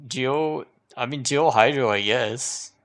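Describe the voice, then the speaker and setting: A man's voice: medium pitch, slow, a bit bright. A man giving casual live gameplay commentary, thinking aloud as he repeats and questions himself. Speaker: game livestreamer.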